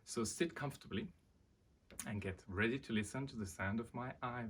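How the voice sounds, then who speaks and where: A man presenting and reading a children's storybook aloud in an expressive way. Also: medium pitch, moderate pace, husky.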